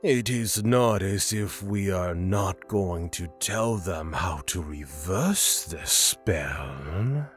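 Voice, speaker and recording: A man's voice: deep voice, animated, very slow, hardly any pauses, faint music behind, heavily acted. A man playing a haughty, menacing god of fears in a fantasy audio drama, theatrical and imperious. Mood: ominous, matter-of-fact.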